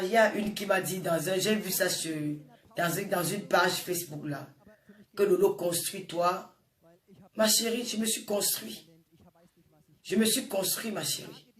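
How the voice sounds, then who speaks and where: A woman in her forties with a Francophone African accent delivers an informal, impassioned monologue, scolding emphatically and repeating phrases for effect. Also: medium pitch. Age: 40s. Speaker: social media personality addressing viewers. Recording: echoey room, distant microphone.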